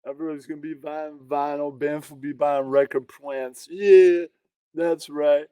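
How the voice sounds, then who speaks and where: A man giving casual reaction commentary as a show host, with excitable, slangy interjections and imagined quotes. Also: a little high, moderate pace, smooth, no echo.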